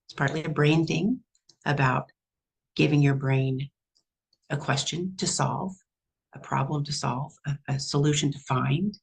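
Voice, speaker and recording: Gravelly, soothing female spirit voiced by a Texan woman, slow and reassuring. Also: low voice, fairly even intonation. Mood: calm, guiding. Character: calm guiding female spirit. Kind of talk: channeled spirit message.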